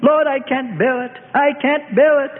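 A man's voice: very high-pitched, a little slow, pitched above the performer's own voice. A man playing an anguished, frightened fisherman disciple, desperate and pleading, in a dramatic religious story. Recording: tinny phone-like radio sound, long reverb.

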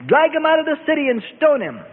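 A man voicing furious religious judges in a dramatized scripture story, shouting with frenzied, fanatical outrage. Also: extremely high pitch, wildly swooping pitch, normal speed, voice pushed up, full character voice. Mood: frenzied rage. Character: raging male religious judges screaming for blood. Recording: tinny radio sound, long reverb.

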